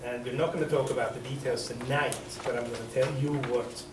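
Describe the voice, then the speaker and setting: A man with a Swiss accent addresses a planning workshop, pausing often on ums and restarting his sentences. He sits well back from the microphone in a reverberant council chamber. Steady background noise runs under the echoey recording. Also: medium pitch. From Switzerland. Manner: hesitant, many ums and restarts. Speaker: developer. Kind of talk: presentation at a public meeting. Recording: echoey meeting room from far off, noisy.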